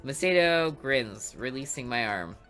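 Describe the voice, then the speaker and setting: Bright-voiced woman reading a game aloud. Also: a bass voice, animated, measured pace, soft background music. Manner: playful, joking asides between read lines. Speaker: let's play host reading a visual novel aloud.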